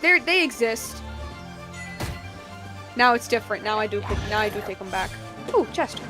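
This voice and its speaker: A woman's voice: medium pitch, lively intonation, normal speed. A woman streaming a game with casual, chatty, slangy live commentary and playful asides. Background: game music.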